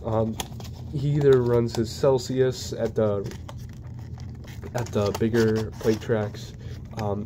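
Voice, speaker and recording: Man with a cold, flat hesitant speech. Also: medium pitch. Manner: hesitant, many ums and restarts; flat, even delivery. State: congested from a cold. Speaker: diecast collector reviewing model cars. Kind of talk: casual unboxing vlog. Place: indoors at home, plain wall. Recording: noisy home recording.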